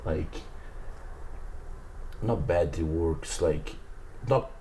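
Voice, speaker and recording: Male Brazilian guest, deep and relaxed tone, Portuguese native feeling for English words in casual conversation, slight noise behind. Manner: halting, simple non-native English, searching for words. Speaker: interview guest, jiu-jitsu athlete.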